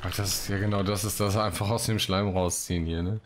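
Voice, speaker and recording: A man's voice: deep voice, fairly even intonation, fast talker, noisy background. A man hosting a let's play, mixing casual commentary with read-out quest texts.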